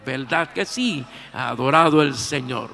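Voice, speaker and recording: A pastor in his sixties with a Puerto Rican accent, preaching a sermon. He keeps slipping a praise phrase between sentences and moves between shouted peaks and soft, low passages. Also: a little slow, gravelly. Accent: Puerto Rican Spanish. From Puerto Rico. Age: 60s. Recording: long hall reverb.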